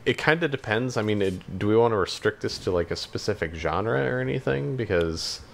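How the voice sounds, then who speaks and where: A man's voice: on the low side, lively intonation, brisk, vocal fry. A man co-hosting a podcast in casual chat, speaking in a hesitant, rambling, hedging way. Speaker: podcast co-host.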